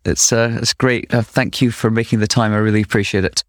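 A man hosting a podcast, who reads his intro smoothly and chats with small restarts. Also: lowish voice, little pitch movement, a little fast, a bit bright, no echo, clean studio sound. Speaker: podcast host. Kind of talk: interview podcast with scripted intro. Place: home in Brooklyn, remote call.

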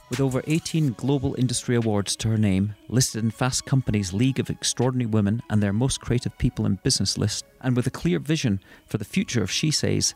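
A man hosting a podcast, reading a scripted guest introduction and then asking measured, earnest questions. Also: on the low side, darkish tone. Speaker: podcast host. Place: worked in London.

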